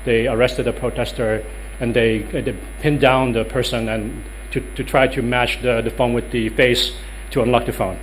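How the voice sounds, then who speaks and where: A man's voice: medium pitch, flat delivery, moderate pace, slight background noise, rough recording. A man in his thirties with a Dutch accent giving a workshop talk in a conference room, hesitant with many ums and uhs. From Netherlands. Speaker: lecturer.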